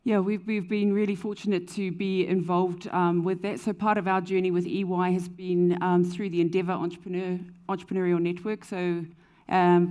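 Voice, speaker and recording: A woman's voice: fairly low pitch, darkish tone. A woman with a New Zealand accent, speaking as a panelist on a conference stage, fluent with frequent "um" fillers. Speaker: panelist, company founder. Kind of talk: panel discussion answer. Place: conference stage before an audience.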